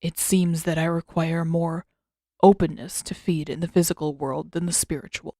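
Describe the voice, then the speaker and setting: Menacing spirit creature, played by a woman, coolly polite, formal, deliberate, fantasy audiobook villain, dry sound. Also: sits low, steady tone, dark tone, dry recording. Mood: cool menace.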